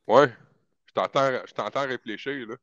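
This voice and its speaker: A man's voice: medium pitch, moderate pace, a bit bright, dry recording. A middle-aged man with a Quebec French accent chatting casually, slangy and teasing, dropping in English phrases, on a dull microphone. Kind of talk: casual chat.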